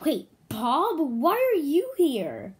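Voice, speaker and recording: A woman's voice: high voice, huge pitch swings, very slow, voice pushed up, full character voice. A woman voicing an indignant young wife and mother, scolding and questioning, in a read-aloud storybook.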